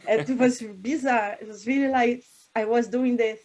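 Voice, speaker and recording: A woman's voice: fairly high pitch, steady tone, moderate pace, a little mellow. Woman musing thoughtfully. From Brazil. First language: Portuguese. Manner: thoughtful, searching for words with frequent restarts and laughs. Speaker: singer. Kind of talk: interview conversation.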